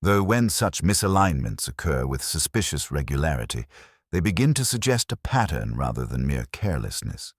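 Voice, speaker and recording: A man's voice: rumbling low, big pitch swings, normal speed, husky, no echo, studio quality, exaggerated voice. A man voicing a mild, shrewd police inspector in a storybook mystery, understated and drily insinuating. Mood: mildly probing. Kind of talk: storybook mystery character reading.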